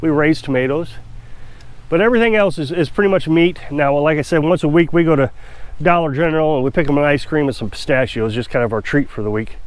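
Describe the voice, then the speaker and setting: A man's voice: on the high side, quick pace, no room sound. Congested man in a chatty vlog. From Missouri. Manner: chatty, rambling, self-assured. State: congested from a cold. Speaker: off-grid homestead vlogger. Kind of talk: casual opinionated monologue to camera.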